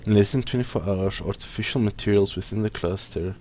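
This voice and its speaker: A man's voice: lowish voice, a little fast, mellow, a little hiss or hum. A man giving casual let's play commentary, reading text aloud and stumbling over technical words. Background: faint video game music.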